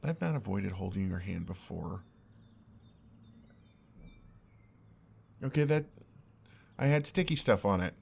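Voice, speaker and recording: Man acting as earnest guy friend, low creaky voice. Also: sing-song, moderate pace, a little mellow, full character voice. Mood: flustered, defensive. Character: exasperated, earnest, oblivious young male best friend. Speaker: audio roleplay performer. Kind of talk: intimate audio roleplay acting.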